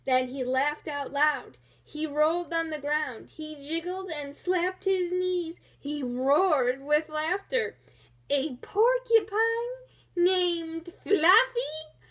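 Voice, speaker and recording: A woman reading a children's picture book aloud in an expressive storytelling style. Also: high voice, takes it slowly, hardly any pauses. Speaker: storybook reader.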